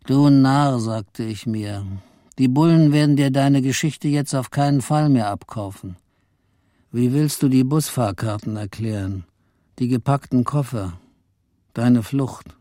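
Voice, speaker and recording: Self-mocking clerk narrator, played by a man, radio play, brooding, warm dark tone, close dry sound. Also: medium pitch, measured pace, no room sound, close to an everyday voice.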